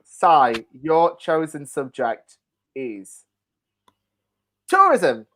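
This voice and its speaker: A woman hosting a panel game in a playful, excitable, teasing manner. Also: low-pitched, extremely slow, slight background noise. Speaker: host of a panel show.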